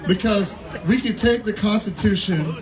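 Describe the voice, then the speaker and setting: Man shouting over a cheering crowd. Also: sits high, moderate pace. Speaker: rally speaker. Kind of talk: rally speech to a crowd.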